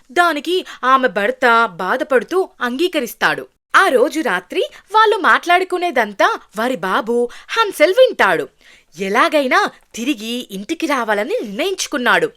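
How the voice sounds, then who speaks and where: A woman's voice: high voice, a bit bright. A woman narrating a scripted fairy tale for children as a storyteller.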